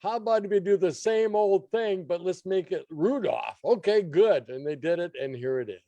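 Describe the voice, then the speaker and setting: Male radio guest critic, high register, opinionated, emphatic grumbling banter, dry close sound. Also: lively intonation, normal speed, dark tone, dry recording. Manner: blunt, curmudgeonly, emphatic.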